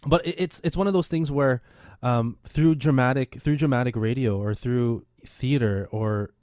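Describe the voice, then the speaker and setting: Male radio host, eager and rambling, mellow dark tone, dry studio sound. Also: medium pitch, moderate pace, no room sound. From Philippine heritage. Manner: enthusiastic, rambling with many restarts. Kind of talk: casual radio interview.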